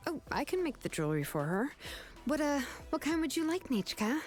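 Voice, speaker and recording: Easygoing crafter, hugely varied pitch. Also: female voice, big pitch swings, brisk, soft background music. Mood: casual, kind. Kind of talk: video game voice acting.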